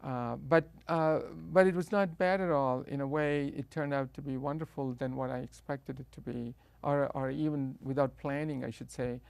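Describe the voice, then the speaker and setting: A middle-aged man with a South Indian accent speaks in a flat, level tone with a gravelly edge, recorded close and dry. He tells his story as an interview guest, hesitant and full of restarts. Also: mid-range voice, normal speed, few pauses, dry recording. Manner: hesitant, many uh fillers and restarts.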